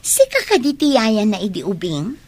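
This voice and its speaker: A woman's voice: medium pitch, big pitch swings, moderate pace, breathy edge. An actress with an Ilocano accent playing a curious young woman questioning her elder in a radio drama. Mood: inquisitive.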